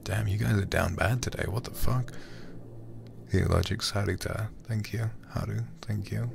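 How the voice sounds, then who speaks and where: A man streaming a game in ASMR style, murmuring in a hushed, breathy, gravelly whisper close to the microphone. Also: lowish voice, fairly even intonation, moderate pace, background noise. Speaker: livestream host, gamer.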